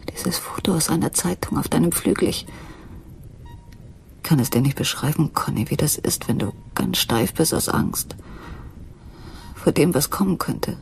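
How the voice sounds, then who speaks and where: An actress playing a troubled, anxious wife in a German radio crime drama, with a hesitant, brooding manner. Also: female voice, low voice, measured pace, gravelly, long pauses, slight background noise.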